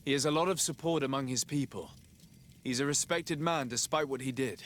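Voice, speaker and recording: An actor playing a composed, formal noble envoy who asks polite, pointed questions in a fantasy game. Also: male voice, medium pitch, brisk, a bit bright, slight background noise, close to an everyday voice. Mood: earnest. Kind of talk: scripted fantasy game acting.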